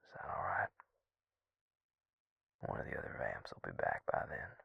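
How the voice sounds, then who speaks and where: A man playing a gentle, courteous Southern vampire in an intimate audio roleplay, with a slow, soothing drawl and Southern US accent. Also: sing-song, no room sound, highs cut off, heavily acted. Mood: concerned, soothing. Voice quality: low, gravelly, breathy near-whisper. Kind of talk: intimate audio roleplay acting addressed to the listener.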